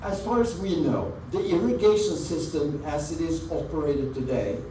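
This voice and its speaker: A man's voice: on the high side, dark tone. Norwegian-accented man presenting in an echoey hall. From Norway. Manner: earnest, measured, stresses key words. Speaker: presenter at a community annual meeting. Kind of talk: presentation talk over slides. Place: meeting room with an audience. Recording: echoey meeting room, mic at a distance, audible background noise.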